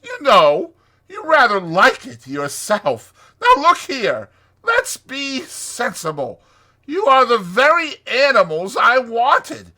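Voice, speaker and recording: Shrill, boisterous storybook toad. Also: male voice, huge pitch swings, slow pace, exaggerated voice. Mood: boisterous, boastful. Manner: loud, exclamatory, gushing. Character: boisterous, boastful, excitable male toad. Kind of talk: dramatized storybook reading.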